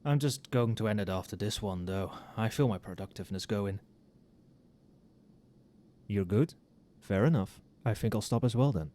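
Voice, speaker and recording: A man playing a tipsy, friendly professor in a casual ASMR roleplay, speaking softly and muttering as if to a colleague. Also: on the low side, normal speed, pauses often, lightly acted. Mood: relaxed, chatty. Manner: soft-spoken, muttering. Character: tipsy, friendly, conscientious male magic-university professor working late.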